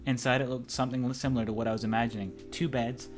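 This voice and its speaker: A man's voice: medium pitch, level tone, fast talker, faint music behind. A man reading a visual novel aloud as narrator and player, in a casual, playful, theatrical way.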